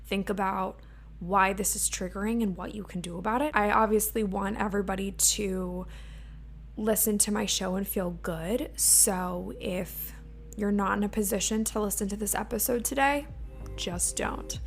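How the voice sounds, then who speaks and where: A woman in her twenties hosting her own podcast, talking in a casual, open way with a slightly raspy voice and lots of "like" fillers. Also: mid-range voice, fairly even intonation, moderate pace, soft background music. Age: 20s. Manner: chatty and open, many "like" fillers. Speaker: podcast host. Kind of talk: casual solo podcast talk. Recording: faint hum and lawn mower behind.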